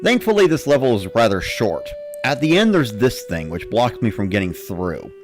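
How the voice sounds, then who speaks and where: A man narrating in the first person with an expressive, dramatic delivery. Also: medium pitch, moderate pace, over music. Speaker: narrator of a dramatic reading series.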